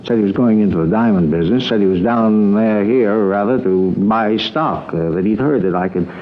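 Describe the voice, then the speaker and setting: An actor playing a shaken, indignant diamond dealer who has been robbed, rambling fretfully in an old radio crime drama. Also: male voice, fairly low pitch, moderate pace, natural voice. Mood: rueful, explaining. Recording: muffled old radio recording with hiss.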